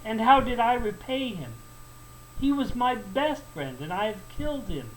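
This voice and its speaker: A man's voice: extremely high pitch, big pitch swings, measured pace, voice pushed up, full character voice, poor audio. A man playing a proud, hot-tempered storybook king who declaims with emphasis. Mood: anguished regret. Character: proud, hot-tempered king out hunting, threatening then remorseful. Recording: noticeable background noise.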